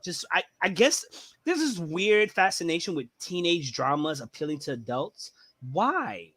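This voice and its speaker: A man co-hosting a geeky chat show, ranting with big swings in pitch and a bright, forceful tone. He is opinionated and acts out little quotes as he goes. The sound is close and dry. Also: measured pace, dry recording. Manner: opinionated, animated rants with acted-out quotes. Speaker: podcast co-host. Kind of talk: casual chat.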